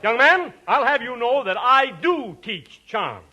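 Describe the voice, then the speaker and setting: An actor playing a fussy, pompous etiquette professor in a 1940s radio comedy, lecturing grandly. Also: male voice, extremely high pitch, very animated, unhurried, pushed and bright, highs cut off, full character voice.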